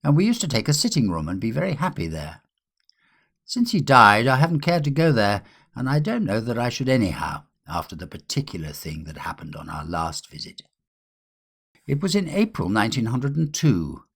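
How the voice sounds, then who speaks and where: A man voicing a gentlemanly storyteller who confides an odd memory in a conversational, clubbish manner. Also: medium pitch, wildly swooping pitch, normal speed, creaky, breathy edge, voice pushed up, full character voice. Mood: brisk, reflective.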